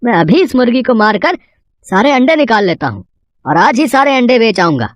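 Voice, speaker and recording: A woman's voice: a little high, sing-song, brisk, no room sound. A woman voicing a greedy, proud male village farmer in a cartoon moral story. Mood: proud, dismissive.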